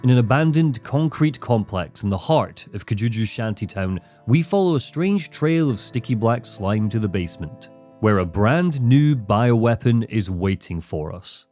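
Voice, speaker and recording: A man's voice: lowish voice, animated, moderate pace, dark tone. A man delivering scripted narration for a critical video essay, with dramatic, emphatic phrasing. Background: background music, guitar.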